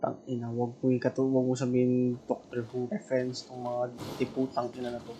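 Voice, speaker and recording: A man's voice: medium pitch, monotone, fast talker, dark tone, slight background noise, low-quality recording. A man with a Filipino accent giving casual live game commentary, muttering and swearing. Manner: foul-mouthed muttering. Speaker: game streamer. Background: game music.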